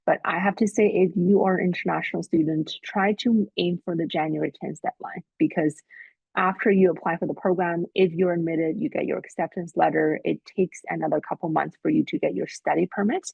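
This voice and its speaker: Husky-voiced woman on a muffled webinar. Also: on the low side, fairly even intonation, brisk, no echo. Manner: practical, advising, many fillers. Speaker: admissions staff host. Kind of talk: webinar presentation. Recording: muffled, dull webinar audio.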